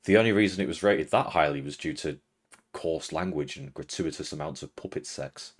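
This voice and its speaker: A young British man with a British accent, reading a prepared critical commentary as the host of an online show, in a measured, dry and earnest way. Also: very deep voice, breathy edge. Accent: British English. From Britain. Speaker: video essay host.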